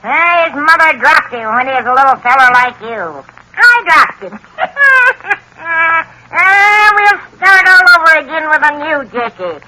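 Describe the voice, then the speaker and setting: A woman's voice: high voice, big pitch swings, a little slow, pushed and bright, exaggerated voice. A woman playing a haggard, half-mad old backwoods woman in an old radio drama, cackling and wheedling in a dialect. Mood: crooning, crazed. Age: older. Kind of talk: 1940s radio acting. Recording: noisy old radio recording.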